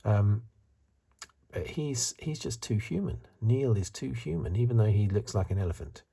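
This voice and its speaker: A man giving soft-spoken, partly whispered ASMR review commentary in a breathy, hesitant, musing way. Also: lowish voice, expressive, moderate pace. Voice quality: breathy, hushed. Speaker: host of a film review video.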